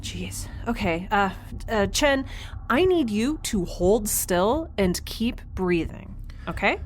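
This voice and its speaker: An actress playing a flustered but caring woman improvising as medic, halting yet taking charge, in an audio drama. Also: mid-range voice, big pitch swings, slight background noise. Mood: urgent, flustered. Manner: hesitant, halting, takes charge. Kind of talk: naturalistic audio drama acting.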